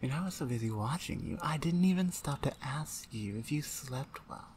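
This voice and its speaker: A woman playing a stern, dominant female orc shaman in a roleplay ASMR audio, speaking in a commanding, deliberate, taunting way. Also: extremely low pitch, expressive, a little mellow, a little hiss or hum. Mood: teasing, suggestive.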